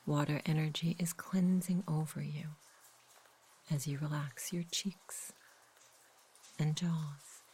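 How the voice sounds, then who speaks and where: A woman guiding a sleep meditation in a soft, whispery, husky voice, gentle and unhurried. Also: deep voice, steady tone. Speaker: meditation guide. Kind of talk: guided sleep meditation, slow soothing narration.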